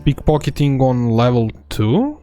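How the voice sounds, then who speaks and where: Relaxed Serbian-accented male game streamer. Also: medium pitch, unhurried, faint music behind. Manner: relaxed, rambling, many fillers. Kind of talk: live gameplay commentary.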